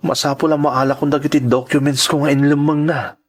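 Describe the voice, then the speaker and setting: A man's voice: fairly high pitch, flat delivery, brisk, close to an everyday voice. A man with a Filipino accent playing an earnest, worried migrant worker in an Ilocano radio drama, speaking in a hesitant, respectful way. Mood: guilty, resolved. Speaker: voice actor.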